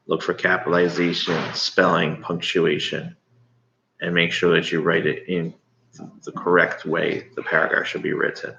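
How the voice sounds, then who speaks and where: A middle-aged American man, a schoolteacher giving an informal, halting read-aloud lesson to his pupils. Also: sits low, gravelly, pushed and bright. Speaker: elementary school teacher. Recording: roomy, dull, faintly noisy home recording.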